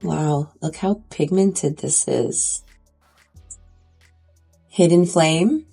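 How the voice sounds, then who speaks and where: Congested woman, mellow husky voice. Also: low-pitched, very slow, faint music behind. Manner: chatty, enthusiastic about swatches. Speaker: beauty vlogger. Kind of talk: casual makeup review.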